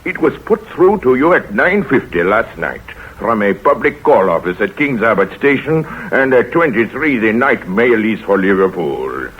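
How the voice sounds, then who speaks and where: An actor playing a fussy, courteous detective with a French-speaking foreign accent, speaking formal, broken English in a 1940s radio drama. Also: male voice, on the low side, sing-song, normal speed, hardly any pauses, breathy edge. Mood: courteous, probing. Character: fussy, polite Belgian detective, middle-aged man. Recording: dull old radio broadcast recording.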